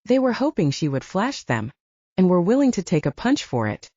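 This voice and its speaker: A woman narrates romance fiction in a scripted audiobook style. Her pitch sits a little low but moves in lively swings. The recording is close and dry. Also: normal speed, no echo, lo-fi sound.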